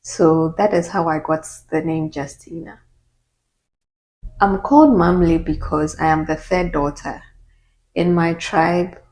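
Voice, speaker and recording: Low-voiced Ghanaian woman vlogging. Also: a little mellow, low-quality recording. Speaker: YouTuber. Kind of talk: casual get-to-know-me Q&A.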